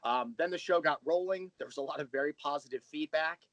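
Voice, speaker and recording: A man's voice: on the high side, fast, fairly bright tone, lo-fi sound. A man speaking as an interview guest, explaining things in detail in a conversational way. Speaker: interview guest, audio drama creator.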